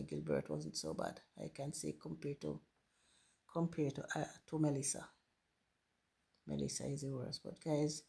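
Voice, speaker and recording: Jamaican woman, hoarse with a flu, husky and unhurried, chatty gardening host thanking her viewers. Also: low voice. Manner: warm, grateful, addresses viewers as guys. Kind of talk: casual chat to viewers while planting. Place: home garden.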